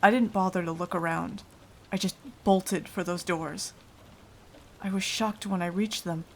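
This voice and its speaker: A woman's voice: lowish voice, fairly even intonation, slight background noise. A woman playing a frightened, self-sacrificing young victim, telling of a vampire attack as dramatic ASMR roleplay. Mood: haunted dread. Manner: hushed, dramatic, deliberate storytelling.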